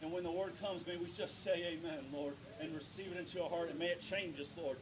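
Preacher with a higher voice, rumbly noisy recording. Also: male voice, fairly even intonation. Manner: builds to loud emphatic preaching with drawn-out stresses. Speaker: pastor. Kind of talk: sermon with prayer and scripture reading. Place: church sanctuary.

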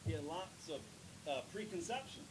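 A man preaching a sermon in church, reading scripture, praying and building to loud emphatic points with drawn-out words. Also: sing-song. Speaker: pastor. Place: church sanctuary. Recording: noisy with low rumble.

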